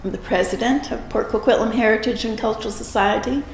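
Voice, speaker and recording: A woman's voice: on the high side, fast talker, gravelly, slight background noise. A woman who heads a heritage society, speaking earnestly in an interview. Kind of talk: interview statement. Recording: echoey with faint ambience.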